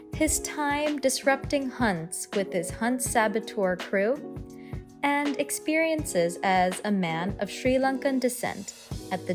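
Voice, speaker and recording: An effusive woman hosts a podcast interview, speaking slowly and deliberately at a middle pitch. Her praise is gushing and her questions are padded with ums. A clear music bed plays beneath her voice.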